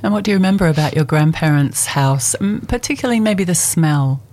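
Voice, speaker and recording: Host: woman with a lowish voice, gentle and curious, asks open questions. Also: lively intonation, a little fast. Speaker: interviewer. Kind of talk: conversational interview.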